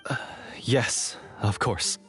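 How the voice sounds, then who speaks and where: An actor playing a contrite, nervous young monster hunter in a video game, speaking hesitantly and earnestly. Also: male voice, slow pace, a bit bright, faint music behind. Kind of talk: video game dialogue acting.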